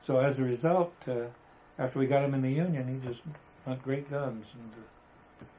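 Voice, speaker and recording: An older American man reminiscing casually in an interview, halting and rambling as he talks. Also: mid-range voice, warm tone, low-quality recording. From Arizona, United States. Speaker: interviewee, veteran photographer. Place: indoors, photographer's studio.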